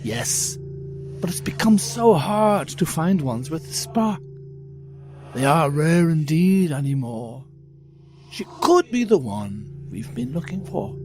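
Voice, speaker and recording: An actor playing a sinister, centuries-old piper, slow and theatrical as he muses in an audio drama. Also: on the high side, sing-song, darkish tone. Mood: brooding. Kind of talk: dramatic audio fiction acting. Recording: voice under prominent music.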